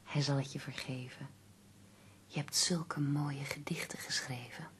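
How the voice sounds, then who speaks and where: A woman acting in a Dutch radio play as an ailing poet's devoted, earnest companion, soft, breathy and restrained. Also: extremely low pitch, fairly even intonation, measured pace, in a whisper. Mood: gentle.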